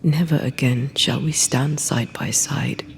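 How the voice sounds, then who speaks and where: An actress playing a solemn ghost woman, a slain ghost-hunter, in a video game cutscene, speaking gravely and often dropping to a hush. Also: extremely low pitch, steady tone, unhurried, a little hiss or hum. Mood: sorrowful, resolved.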